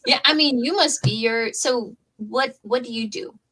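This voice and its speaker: A young woman hosting a podcast, chatting casually with quick, bubbly reactions. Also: fairly high pitch, fairly bright tone, low-quality recording. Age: young adult. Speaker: podcast host.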